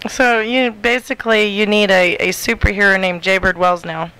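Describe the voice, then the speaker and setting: A woman's voice: medium pitch, steady tone, moderate pace, sharp tone, clean tone, rough recording. A woman co-hosting a radio show, tossing in playful, teasing remarks in casual banter. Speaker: radio co-host.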